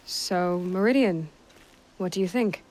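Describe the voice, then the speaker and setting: A woman's voice: fairly low pitch, unhurried, darkish tone, lightly acted, rough recording. A woman playing a plainspoken, guarded but kind young warrior in a video game, with a direct, understated manner. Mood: dry, warm. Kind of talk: video game cutscene acting.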